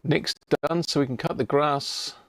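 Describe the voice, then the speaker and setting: A man's voice: fairly high pitch, fairly even intonation, measured pace, fairly bright tone, no room sound. A British man hosting a livestream, chatting to viewers in an informal, matey, casual way. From United Kingdom. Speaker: livestream host. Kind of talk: casual live chat to viewers.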